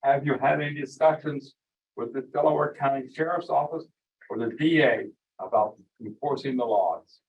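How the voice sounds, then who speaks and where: A man's voice: medium pitch, normal speed. A man at a town meeting asking pointed questions, with a dry bit of humor. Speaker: resident speaking at public comment. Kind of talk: public comment at a town meeting. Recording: distant, dull meeting audio.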